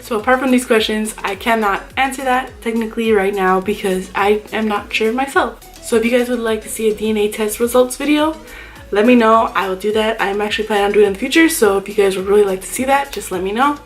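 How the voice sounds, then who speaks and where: A woman's voice: a little high, fast, soft background music. Rambling young woman vlogger. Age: young adult. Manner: rambling and self-reflective. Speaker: YouTuber. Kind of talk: casual vlog chat.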